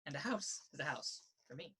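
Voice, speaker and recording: A woman reading a children's picture book aloud in a sing-song, rhythmic way, with a slightly husky voice. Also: low-pitched, lively intonation, a little fast, bright, forceful tone. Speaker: story reader. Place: indoors in a children's library.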